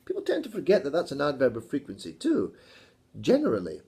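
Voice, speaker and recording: A Scottish man with a mellow, dark tone leads a relaxed video lesson. He talks with a lively, swooping pitch and keeps slipping in playful, teasing jokes. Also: quick pace. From Scotland. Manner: playful, teasing, jokey asides. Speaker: English teacher.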